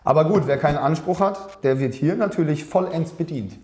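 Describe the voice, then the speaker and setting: A man's voice: on the high side, fairly quick, a little mellow, reverberant. A young man from West Germany hosting a casual interview, wry and ironic, speaking on a lapel mic in a living room. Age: young adult. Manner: wry, mock-grumpy, ironic. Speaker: host. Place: living room with photo wall, indoors.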